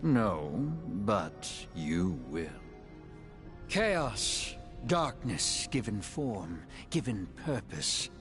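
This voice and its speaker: An actor playing a suave, theatrical dark elf in a video game, drawing out his words with sly mock courtesy. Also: on the low side, big pitch swings, very slow, music in the background, exaggerated voice. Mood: ominous, amused. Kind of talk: scripted video game cutscene acting.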